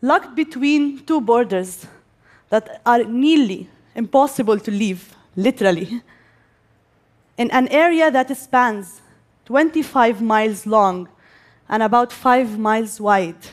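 A young woman from Gaza giving a prepared speech to an audience, speaking as an English speaker with pauses between phrases. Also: a little high. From Gaza, Palestine. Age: young adult.

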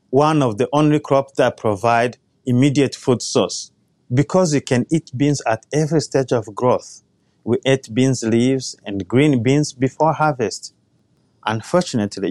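A man, a crop scientist giving an earnest, measured talk about his own life. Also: mid-range voice, darkish tone, no echo. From Rwanda. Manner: earnest, measured, personal storytelling. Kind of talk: prepared talk.

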